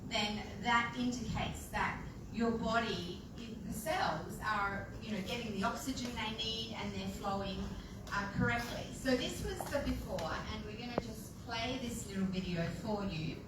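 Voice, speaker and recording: A woman, giving a casual live presentation with lots of fillers and lively quoting. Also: a little high, unhurried. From Australia. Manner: chatty, filler-heavy, animated when quoting people. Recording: echoey room from far off, noisy.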